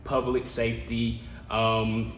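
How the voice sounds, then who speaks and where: A young man from Columbia, South Carolina, a council candidate, answering interview questions in an earnest, measured way with many pauses. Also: mid-range voice, monotone, reverberant, a little hiss or hum, low-quality recording.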